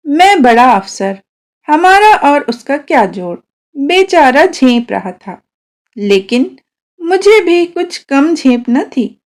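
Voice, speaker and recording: A woman reads a story aloud as its first-person narrator. Her voice is high and moves up and down in an animated way. She takes her time, pacing the telling slowly and expressively.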